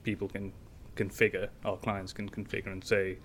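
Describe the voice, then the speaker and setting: A man's voice: low-pitched, level tone, moderate pace, a bit bright, slight background noise. A young man, a startup founder, answering in a casual interview with long explanations full of fillers and restarts.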